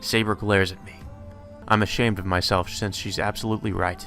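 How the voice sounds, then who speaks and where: A man's voice: fairly low pitch, flat delivery, a little fast, music in the background. A man doing a let's play, reading visual novel text aloud and commenting casually. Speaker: let's player.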